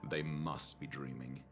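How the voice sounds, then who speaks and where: An actor playing a sardonic scholarly doctor in a video game, pompous, measured and deadpan. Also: male voice, extremely low pitch, exaggerated voice.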